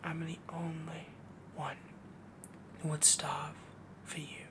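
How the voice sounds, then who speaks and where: A man playing a possessive, doting young werewolf boyfriend in a soft, breathy, half-whispered intimate roleplay audio, rambling and dominant as he coos endearments. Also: fairly high pitch, level tone, slow pace, background noise. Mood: jealous, possessive.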